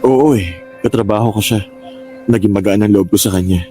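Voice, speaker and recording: Man acting a mellow, hesitant young boyfriend. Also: fairly low pitch, lightly acted. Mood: apologetic, gentle. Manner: halting, hesitant. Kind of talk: radio drama acting. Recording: music bed under voice.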